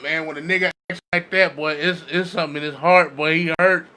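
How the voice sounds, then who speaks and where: A man chatting casually with friends in a blunt, animated, slangy way. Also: fairly high pitch, fairly quick. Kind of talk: casual group chat.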